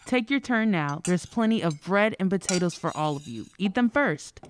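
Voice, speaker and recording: A woman's voice: lowish voice, expressive, normal speed, a little hiss or hum, lightly acted. A woman playing a caring, bustling, folksy mother in a radio play. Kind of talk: old-time radio play acting.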